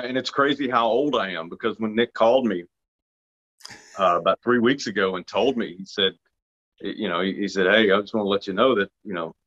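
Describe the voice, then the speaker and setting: A man's voice: lowish voice, a bit bright. A man from Arkansas, an actor being interviewed, talking casually with frequent restarts and self-deprecating remarks. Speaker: actor guest. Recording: dull lo-fi sound.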